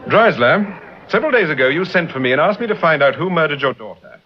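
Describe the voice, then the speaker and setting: Suave British gentleman sleuth, unruffled and polite, lively inflection, muffled old radio recording. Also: a little high, fast, a little hiss or hum. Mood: firm, accusing. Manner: urbane, polite, understated. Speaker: lead actor in a radio serial. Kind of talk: vintage BBC radio drama acting.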